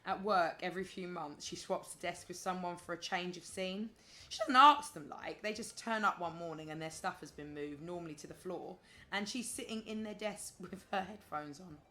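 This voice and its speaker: A woman's voice: lowish voice, normal speed, bright, forceful tone. A woman acting in an audio play as a wry, sociable adult friend who talks in a chatty, sardonic way. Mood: wry exasperation. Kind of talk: audio drama dialogue.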